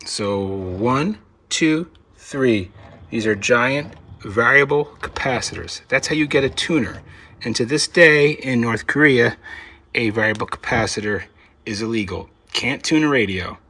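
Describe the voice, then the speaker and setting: A man's voice: medium pitch, a little slow, bright, forceful tone. A man hosting a hands-on electronics video in casual, unscripted commentary, thinking aloud as he inspects and sometimes trailing off. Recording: clear background noise, room ambience.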